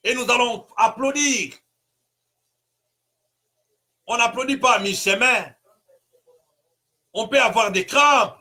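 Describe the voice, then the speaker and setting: A man from Congo, a pastor, giving an impassioned political address full of rhetorical questions and bursts of shouting. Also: very high voice, unhurried. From Congo (DRC).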